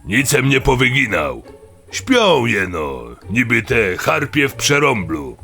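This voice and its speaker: A man playing a gruff, impatient, burly dwarf thief in an audio drama, with a rough voice and surly rustic dialect. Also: mid-range voice, sing-song, takes it slowly, pushed and bright, faint music behind, exaggerated voice. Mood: muttering.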